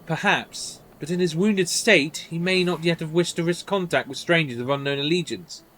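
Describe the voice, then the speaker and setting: Man playing earnest young gentleman for fantasy game, formal, slightly pompous, higher pitched, animated. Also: rough recording. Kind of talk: reading game dialogue in character.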